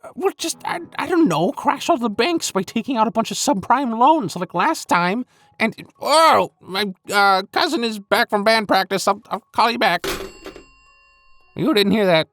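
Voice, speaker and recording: A man's voice: high voice, expressive, moderate pace, fairly bright tone, no echo. A man playing a flippant, smug secret schemer in a comic audio roleplay, stammering, dismissive and conspiratorial. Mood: exasperated, flustered. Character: flippant, flustered secret-cabal schemer, smug and easily bored.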